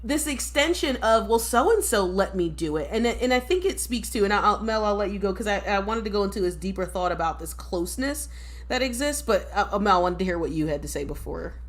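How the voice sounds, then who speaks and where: A woman hosting a casual discussion, an animated storyteller who laughs often and stammers through restarts. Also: medium pitch, brisk. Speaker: podcast host.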